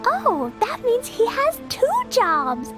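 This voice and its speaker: A woman's voice: very high-pitched, wildly swooping pitch, takes it slowly, heavily acted. A woman voicing a small, cheerful and innocent girl who chatters in a bubbly childlike way. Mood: chatty. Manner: bubbly, childlike, says "super duper" and "Mister". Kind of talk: game voice acting. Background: game music.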